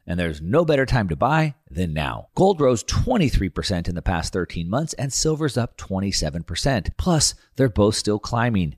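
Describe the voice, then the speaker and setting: Guy hosting a talk radio show, deepish voice that swings around a lot, dry close sound. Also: a little mellow, no echo. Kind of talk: radio talk show commentary with scripted ad reads.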